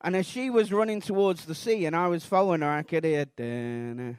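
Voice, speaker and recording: A Mancunian man in his thirties doing a rambling, self-deprecating stand-up routine on a comedy club stage, bursting into shouted outrage. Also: on the high side, brisk, smooth, dry recording. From Manchester, England.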